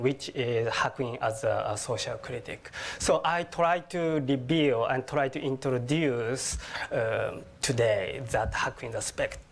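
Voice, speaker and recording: A man from Japan with a Japanese accent, giving an academic lecture slowly, with halting restarts and self-corrections. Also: medium pitch. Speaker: guest lecturer. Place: lecture hall.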